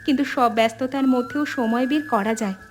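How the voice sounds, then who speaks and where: A woman playing a warm, remorseful grown-up elder sister in an animated family drama. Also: fairly high pitch, fast, over music, lightly acted.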